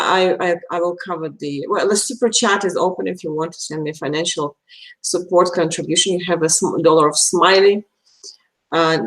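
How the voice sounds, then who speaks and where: A woman from Belarus, a native Russian speaker with a Russian accent, chatting casually and haltingly as she hosts an astrology live stream. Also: lowish voice, poor audio. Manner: chatty, halting, searching for words.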